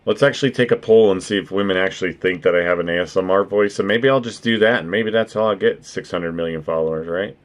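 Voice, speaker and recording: A man with a naturally deep voice hosting a casual stream chat, joking, swearing and laughing often. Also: fast talker, hardly any pauses. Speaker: streamer and podcast host.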